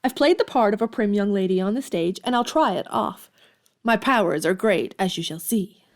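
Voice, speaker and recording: A woman's voice: medium pitch, normal speed, natural voice. An actress playing a headstrong, hot-tempered tomboyish young woman in an audio drama, outspoken and emphatic. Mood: haughty, flippant. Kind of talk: audio drama acting of a period novel.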